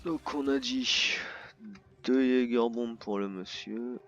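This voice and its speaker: A man's voice: mid-range voice, slow pace, a bit bright. A man improvising as a courteous bartender in a roleplay game, speaking politely and formally to customers. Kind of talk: improvised in-character customer service chat. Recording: dull lo-fi voice chat, music behind. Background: music.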